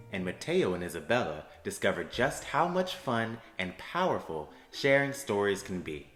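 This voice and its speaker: A man working as a theater education associate gives upbeat, friendly explanations to students. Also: fairly low pitch. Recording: roomy echo with soft music.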